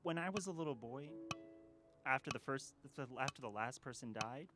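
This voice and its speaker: A man's voice: mid-range voice, expressive, moderate pace, natural voice. A man playing a troubled, regretful mortal in a radio drama, speaking with earnest doubt and emotion. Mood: bewildered doubt. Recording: voice under music.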